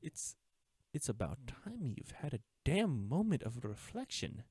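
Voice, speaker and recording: Guy doing an uptight govt agent for audio roleplay, slow deliberate talk, close dry sound, smug but mourning an old friend. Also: mid-range voice, very animated, a little mellow, slightly rough, no echo, full character voice. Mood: stern, reproachful. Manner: formal, deliberate, taunting with wistful undertones. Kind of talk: scripted audio roleplay drama, addressing the listener.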